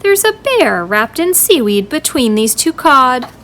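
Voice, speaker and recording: Female reader as old woman fishmonger in a storybook, businesslike and muttering, slow, lively pitch. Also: slight background noise, full character voice. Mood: puzzled. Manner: muttering, matter-of-fact.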